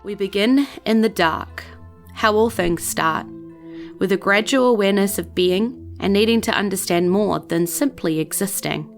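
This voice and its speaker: A woman narrating a scripted fantasy audio drama in a deliberate, portentous storytelling manner. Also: mid-range voice, gravelly, faint music behind. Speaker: game master narrator.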